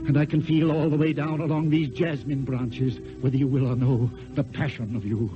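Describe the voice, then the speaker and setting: An actor playing a passionate, eloquent poet wooing in secret, in lyrical theatrical declamation with dramatic pauses. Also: male voice, mid-range voice, little pitch movement, natural voice. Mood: ardent, rapturous. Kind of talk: mid-century theatrical classical stage acting. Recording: dull old radio recording with music.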